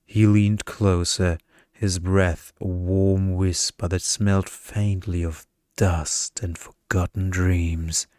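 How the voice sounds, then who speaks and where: A man reading a scripted bedtime story in ASMR style, with a hushed, seductive delivery into a close mic. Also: deep voice, level tone, slow pace, no room sound. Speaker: story narrator.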